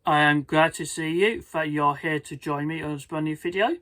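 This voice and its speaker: A storybook reader and video host with a halting, careful delivery and stumbles, reading aloud in a husky voice. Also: mid-range voice, a bit bright, dry recording. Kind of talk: read-aloud storybook narration.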